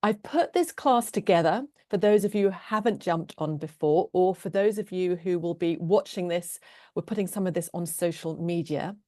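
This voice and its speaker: A woman with a British accent, Norwegian her first language, teaching a live online love-coaching class in an earnest, gentle way. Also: lowish voice, moderate pace, no room sound, studio quality. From England.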